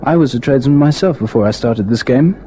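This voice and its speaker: An actor playing a talkative former tradesman turned shop salesman in an old radio drama, hesitant and anecdotal, husky. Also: mid-range voice, fast, mellow, natural voice. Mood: reminiscing.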